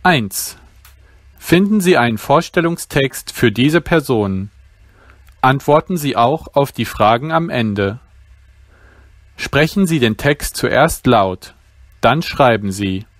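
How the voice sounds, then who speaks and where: A man reads out language-learning exercises at a very slow, careful pace, pronouncing each word distinctly. His voice sits at an ordinary middle pitch. The recording is close and dry, with no room sound. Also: animated, dry recording. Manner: slow, deliberate, clearly articulated reading. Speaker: language teacher.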